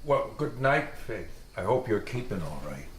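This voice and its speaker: An actor playing a courteous but quietly controlling American pastor in an audio drama, measured and patronizing. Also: male voice, medium pitch, very animated, close to an everyday voice. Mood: probing. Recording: roomy, echoing, faint noise.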